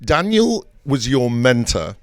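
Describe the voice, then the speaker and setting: A man's voice: mid-range voice, very animated, takes it slowly, dry recording. Chatty older man co-hosting a podcast. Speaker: podcast co-host. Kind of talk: casual podcast chat.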